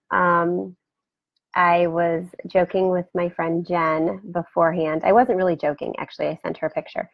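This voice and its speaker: A woman hosting a casual live video chat from her home, rambling warmly and greeting viewers by name, her voice scratchy today. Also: fairly low pitch, gravelly, dry recording, lo-fi sound. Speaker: host. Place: home room beside a turtle aquarium. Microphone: webcam for a live video.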